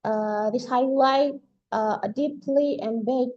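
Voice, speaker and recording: A woman with an Indonesian accent presents over a video call. Her voice sits a bit high with a soft, mellow tone. She speaks carefully, pausing often on "uh". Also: highs cut off. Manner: careful, halting, frequent "uh" pauses. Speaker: presenter from a philanthropic organization. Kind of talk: online conference presentation.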